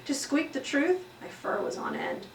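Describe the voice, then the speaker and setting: A woman voicing a small, earnest pet hamster who tells his classroom story with expressive, emphatic delivery. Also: high-pitched, normal speed, pitched above the performer's own voice. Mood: excited, spooked. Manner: expressive, exclaiming, emphatic read-aloud delivery. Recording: roomy echo, distant mic, faint noise.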